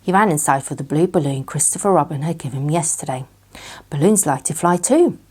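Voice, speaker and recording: Expressive, lower-pitched woman storyteller. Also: fairly quick, breathy edge. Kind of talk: expressive children's bedtime story narration.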